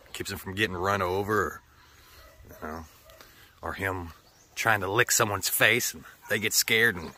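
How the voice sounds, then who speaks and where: Slow-talking American man with a bright, forceful voice. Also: on the low side, a little hiss or hum. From United States. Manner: sweary, rambling. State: mildly sore throat. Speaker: vlogger. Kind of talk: casual chat.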